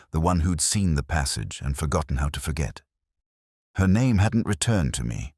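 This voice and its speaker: Casting: male actor as reserved, world-weary police inspector for audio drama narration. Extremely deep, expressive pitch movement, reflective, measured phrasing. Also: husky, dry recording, clean studio sound, full character voice. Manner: measured, literary, brooding delivery in short phrases. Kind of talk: scripted first-person detective narration, audio drama.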